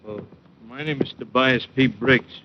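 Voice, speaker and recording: A man's voice: medium pitch, fairly even intonation, a little hiss or hum, natural voice. A man as a huge, simple, earnest wrestler. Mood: timid, polite. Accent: American. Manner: plain-spoken, halting, earnest. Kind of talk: 1940s-50s radio drama acting. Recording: dull old radio recording.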